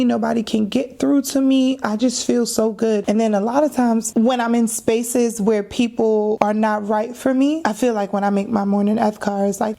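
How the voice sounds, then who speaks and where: Gravelly, mellow-voiced woman in her thirties. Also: fairly high pitch. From Trinidad. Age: 30s. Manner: chatty, laughs often, slangy asides. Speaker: vlogger. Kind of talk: casual get-to-know-me Q&A.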